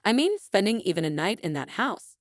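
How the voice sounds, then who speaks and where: Expressive woman narrator with lively pitch. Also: fairly quick, no echo, studio quality. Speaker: story narrator. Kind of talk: scripted dramatic first-person storytelling.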